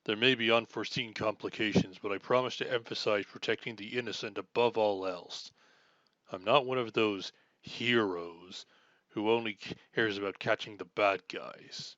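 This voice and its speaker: A man's voice: on the low side, fairly even intonation, normal speed, fairly bright tone, no echo, highs cut off, lightly acted. A man playing an earnest, chivalrous armored superhero swordsman in an audio roleplay, speaking in formal, archaic, self-assured phrases. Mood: confident, reassuring. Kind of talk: scripted audio roleplay acting.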